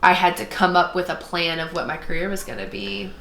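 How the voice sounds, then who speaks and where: Bright-voiced woman chatting in an echoey room. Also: on the low side, level tone, fairly quick, creaky, a little hiss or hum. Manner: informal, often voices quoted remarks. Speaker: podcast co-host, former art student. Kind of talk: casual chat, animated personal storytelling. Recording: roomy, echoey sound.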